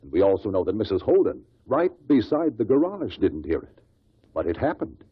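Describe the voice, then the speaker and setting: An actor playing a shrewd, relentless investigator who questions witnesses deliberately in a 1950s radio drama. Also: male voice, fairly low pitch, huge pitch swings, slightly rough, full character voice. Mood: accusing, insistent. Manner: deliberate, probing courtroom questioning. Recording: muffled vintage 1950s radio recording.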